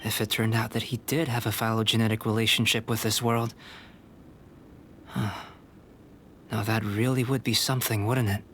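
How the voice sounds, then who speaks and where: A man voicing a calm scholarly alchemist. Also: on the low side, slight background noise, lightly acted. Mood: musing. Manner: measured, lecturing, coolly confident. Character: calm young male alchemist scholar, composed and aloof. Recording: studio game voice with music behind. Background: game music.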